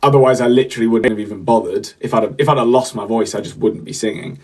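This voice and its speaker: A man's voice: mid-range voice, fast talker, a little mellow, slightly rough. A young man, a podcast host and singer, rambling casually on a livestream with lots of fillers and restarts while he reads the chat.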